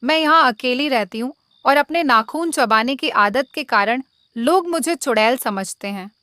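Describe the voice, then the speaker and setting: A woman's voice: sits high, normal speed, pushed and bright, no room sound. A woman storyteller voicing a lonely, misunderstood old hermit woman in a moral tale, plain-spoken and plaintive. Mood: sad, pleading.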